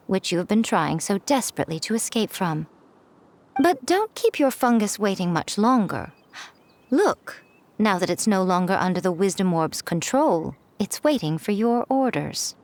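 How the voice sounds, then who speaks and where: A woman's voice: medium pitch, darkish tone. An actress voicing a sly, smug female priestess in a video game cutscene, composed and mocking.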